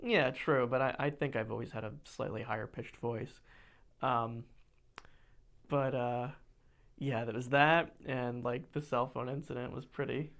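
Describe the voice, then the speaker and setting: A young man with a gravelly edge to his voice, talking in a relaxed, rambling way on a podcast. He restarts often, uses plenty of fillers and laughs while telling stories. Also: mid-range voice, unhurried. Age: young adult. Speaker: podcast co-host. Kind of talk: casual chat.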